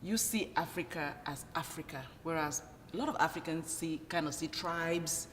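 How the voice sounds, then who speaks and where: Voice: mid-range voice, normal speed, a bit bright, a little hiss or hum. Curious interviewer in a reverberant room. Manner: curious, laughs easily. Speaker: interviewer host. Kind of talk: casual interview questions.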